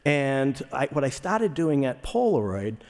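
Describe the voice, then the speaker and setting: A middle-aged man with a Boston accent speaks at a conference in a loose, conversational way, stumbling and repeating words as he goes. His voice sits at an ordinary pitch for a man, and a long hall reverb trails behind it. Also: sing-song, a little slow, darkish tone. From Boston area, Massachusetts. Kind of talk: conference keynote talk.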